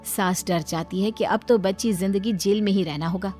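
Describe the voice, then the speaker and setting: A woman's voice: medium pitch, moderate pace, dark tone. Woman narrating a story over music. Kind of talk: scripted narration of a moral story.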